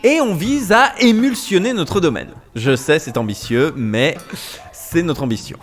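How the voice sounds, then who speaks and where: A man's voice: fairly high pitch, animated, unhurried, soft background music. A man playing a smug, pretentious start-up boss in a comedy audio drama, peppering his French with English business buzzwords. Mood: smooth, bragging. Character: smug pretentious start-up CEO, smooth-talking male doctor.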